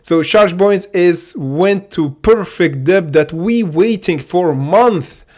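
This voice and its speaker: Excitable man. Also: high voice, slow. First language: Arabic. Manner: excitable, repeats "okay?" and many fillers. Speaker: stock trading livestream host. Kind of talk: casual livestream chart commentary.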